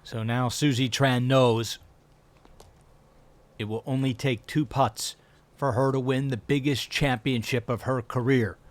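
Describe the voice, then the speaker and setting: A man doing play-by-play commentary for a televised golf broadcast, measured and steady. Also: mid-range voice. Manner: measured, builds up excitement when announcing a winner.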